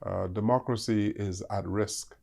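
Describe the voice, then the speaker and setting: An older Bahamian man speaks slowly, with a gravelly edge and lowish pitch. He answers interview questions in a hesitant way, full of ums and uhs. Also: steady tone, darkish tone. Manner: hesitant, many fillers. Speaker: ambassador guest.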